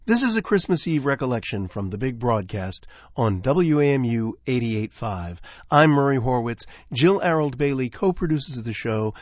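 A dry, close studio sound frames an American man presenting a radio program from a script. His voice sits at a medium pitch and rises and falls in a lively way. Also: no echo. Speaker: radio host. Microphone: close studio microphone.

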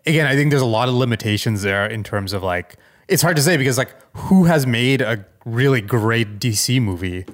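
Male podcast co-host, middle register, conversational and halting, feels his way toward the point. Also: mid-range voice, animated. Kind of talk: casual chat.

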